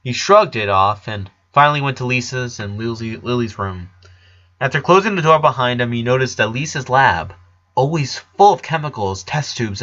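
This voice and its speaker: Bright, forceful male story narrator. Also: medium pitch, muffled recording. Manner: halting reading with stumbles and repeats. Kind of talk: scripted fan fiction narration.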